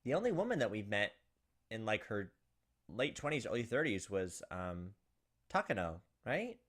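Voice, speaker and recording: A man's voice: on the low side, very animated, normal speed, a bit bright. A man hosting a visual novel playthrough, reading narration aloud and commenting casually. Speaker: gaming video host.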